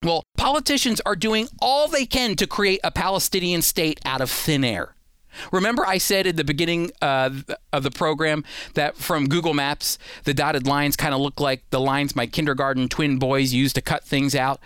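A man's voice: sits high, fairly bright tone, clean tone, no echo. An American man, middle-aged, hosting an explanatory radio talk in an emphatic manner, addressing listeners directly as friends. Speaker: radio show host.

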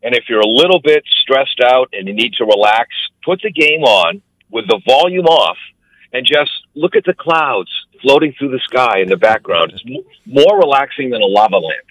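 A man's voice: medium pitch, animated, normal speed, fairly bright tone, no echo, rough recording. Wry man in his sixties, dry close sound. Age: 60s. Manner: wry, joking. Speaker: radio host and sports broadcaster calling in. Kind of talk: casual chat.

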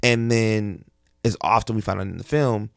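A man's voice: lowish voice, steady tone, normal speed, gravelly, dry recording, clean studio sound. A man co-hosting a review show in casual conversation. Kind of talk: casual chat.

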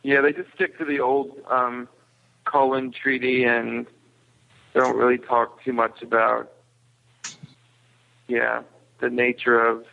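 A man giving his views casually as a podcast guest, halting and rephrasing as he goes, over a narrow phone-like line. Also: mid-range voice, flat delivery, creaky. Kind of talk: casual discussion.

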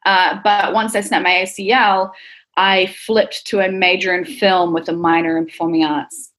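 A woman's voice: mid-range voice, little pitch movement, moderate pace, a bit bright. A young adult woman with a New Zealand accent, talking casually, candidly and thoughtfully about herself as an interviewed athlete and coach.

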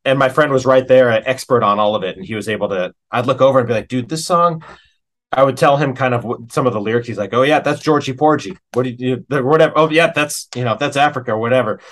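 A man's voice: medium pitch, fast talker, a bit bright. A man speaking as an interview guest and musician, chatting informally in a rambling, anecdotal way. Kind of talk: casual anecdotal chat.

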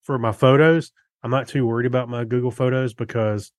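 A man co-hosting a casual tech podcast, speaking in a halting way as he thinks aloud. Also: medium pitch, steady tone, no echo, clean studio sound. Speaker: podcast co-host.

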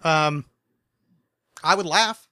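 A man from New Jersey hosting a podcast, bantering crudely and mocking himself, with lots of quick restarts. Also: on the high side, pushed and bright, clear, resonant tone, no room sound, studio quality. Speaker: podcast host.

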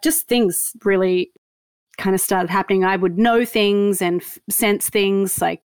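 An Australian woman in her fifties whose accent carries a faint Canadian tinge. She tells her stories with plenty of laughter and jokes at her own expense. Her voice sits at a medium pitch and sounds close and dry, with no room around it. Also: a little slow, smooth, dry recording. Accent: Australian with a slight Canadian tinge. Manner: animated storyteller, laughs often, self-mocking. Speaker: guest. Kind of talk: casual interview chat.

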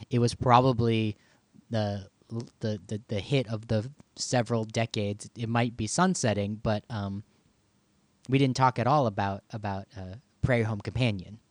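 A man's voice: medium pitch, no echo. A man hosting a podcast in casual conversation, thinking aloud and often doubling back on his words. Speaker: podcast host and audio producer.

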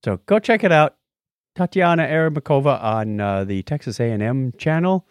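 A man's voice: mid-range voice, animated, moderate pace, dry recording, clean studio sound. A man hosting a podcast in a casual, playful and enthusiastic chatting style. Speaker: podcast host.

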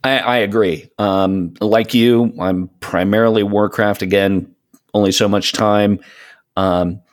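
A man in his late fifties chatting casually about games as a podcast co-host, in a halting, reflective way. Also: on the low side, fairly even intonation. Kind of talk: casual chat about gaming.

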